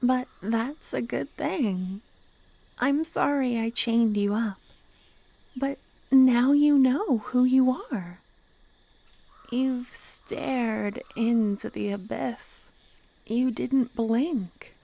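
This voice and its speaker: A woman playing a loving, obsessive cultist kidnapper in a soft-spoken ASMR roleplay, sweetly menacing, breathy and often whispering. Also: fairly high pitch, no echo, exaggerated voice. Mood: tender, dreamy. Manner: slow, tender, intimate coaxing. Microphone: close ASMR microphone.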